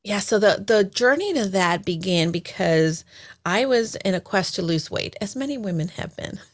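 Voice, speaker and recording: A woman's voice: medium pitch, expressive, moderate pace. A woman from El Salvador, a certified life coach, talking reflectively in a casual interview with many fillers and restarts.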